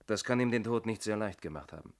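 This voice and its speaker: An actor playing an inspector's sympathetic male colleague in a radio crime drama, with a measured, questioning manner. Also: lowish voice, animated. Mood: sober, sympathetic.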